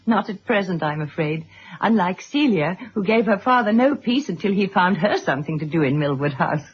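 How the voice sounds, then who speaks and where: A woman's voice: fairly low pitch, animated, moderate pace, husky. A woman playing a middle-aged, genteel and conciliatory wife and mother in a radio drama. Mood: polite, soothing. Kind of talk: 1970s radio drama acting. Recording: dull, noisy vintage radio recording.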